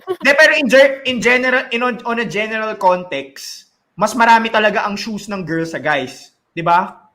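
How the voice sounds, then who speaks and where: A man's voice: high-pitched, expressive, fairly quick, sharp tone. A man with a Filipino accent co-hosting a casual podcast, joking and mixing Tagalog and English. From Philippines. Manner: joking, code-switching with "di ba" tags. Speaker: podcast co-host.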